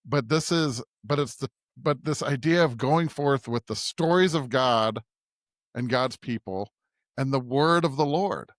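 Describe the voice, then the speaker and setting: A man's voice: medium pitch, unhurried, no echo, studio quality. A man co-hosting a conversational podcast on scripture, thinking aloud reflectively and often checking in with a tag question. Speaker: podcast co-host. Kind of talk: conversational Bible discussion.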